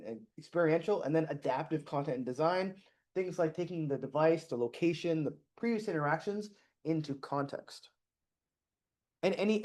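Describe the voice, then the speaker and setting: A middle-aged man giving a conference talk on stage, casual and self-deprecating, with many restarts and fillers. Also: fairly high pitch. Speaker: conference speaker. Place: conference stage before an audience.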